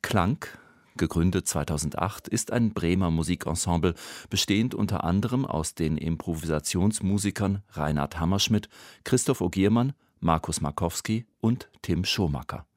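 A man's voice: sits low, normal speed, no room sound. A man presenting a radio programme, reading a scripted introduction in a studio.

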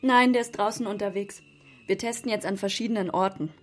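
A practical, kindly mum acted by a woman with a medium-pitched voice. She talks in an everyday, matter-of-fact way in a children's radio play, faint music behind. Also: steady tone, a little fast, close to an everyday voice. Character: practical, kindly scientist mother of a girl.

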